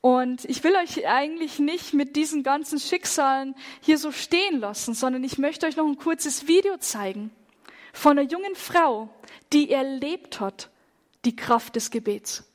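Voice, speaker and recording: High-voiced woman giving an earnest sermon. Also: measured pace. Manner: earnest, moved storytelling, drops to a hush at grave moments. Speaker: church speaker on persecuted Christians. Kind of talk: sermon-style testimony.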